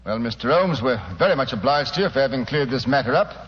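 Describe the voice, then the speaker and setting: An actor playing a firm, respectful, plain-spoken police inspector in a 1940s radio drama. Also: male voice, medium pitch, lively intonation, fast talker, fairly bright tone, natural voice. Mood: grateful, curious. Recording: muffled old radio recording with long reverb.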